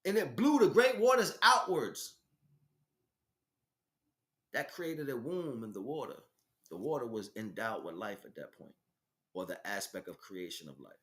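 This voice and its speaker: A man's voice: mid-range voice, very animated, unhurried, pauses often, fairly bright tone. A man giving an impromptu spiritual talk as a teacher, speaking emphatically in short, repetitive bursts. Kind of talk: impromptu spiritual monologue, preaching.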